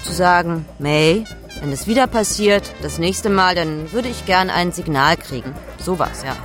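A woman's voice: lowish voice, measured pace, soft background music, close to an everyday voice. An actress playing a caring, self-critical expatriate woman boss, giving a candid conversational monologue in a radio drama. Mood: candid, reflective.

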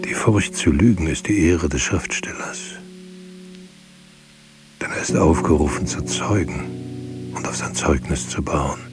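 A man playing a grave, searching writer in a literary radio drama, speaking in a measured, solemn and at times hushed way. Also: rumbling low, music in the background. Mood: grave, confessing.

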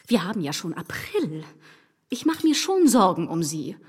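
A woman's voice: on the low side, sing-song, normal speed, a little mellow, breathy edge, long reverb tail. An actress in a German radio play voicing an overbearing married society lady who is effusive, condescending and insistent. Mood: gushing, meddling.

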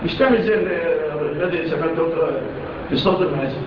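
A man's voice: a little high, a little fast. A man teaching a religious lesson, mixing formal with colloquial asides and rhetorical questions. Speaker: Islamic teacher explaining hadith. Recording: echoey distant dull recording with noise.

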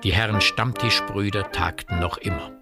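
Low-voiced man narrating a radio play. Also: fairly even intonation, moderate pace, over loud music.

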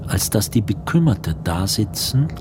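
A man narrating a literary radio drama in a measured storytelling manner. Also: lowish voice, sing-song, noisy background. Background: instrumental music.

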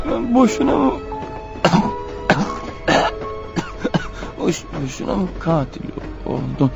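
A desperate fugitive in a radio drama, his voice strikingly high and breathless. He urges himself on, wounded and exhausted, in a muffled recording with music underneath. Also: gravelly, exaggerated voice. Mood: anguished. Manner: breathless, urgent, muttering to himself. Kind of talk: radio theatre acting, inner monologue.

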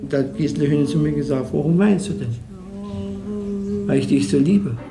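A man recalling personal memories as a theatre actor, speaking haltingly in simple, repeated phrases. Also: on the high side, loud music behind the voice. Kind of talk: personal recollection.

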